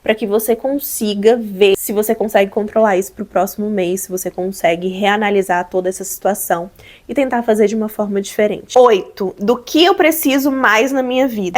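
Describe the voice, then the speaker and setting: A young Brazilian woman with a hoarse, slightly nasal voice from a cold, casually explaining goal-setting tips to her viewers in a chatty way. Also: a little high. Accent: Brazilian Portuguese. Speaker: vlogger. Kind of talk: casual advice vlog.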